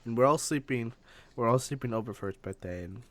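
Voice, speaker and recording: A young man tells a story on a casual podcast among friends. His delivery halts and starts again as he feels his way through it, at an ordinary mid male pitch. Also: a little fast. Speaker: podcast co-host.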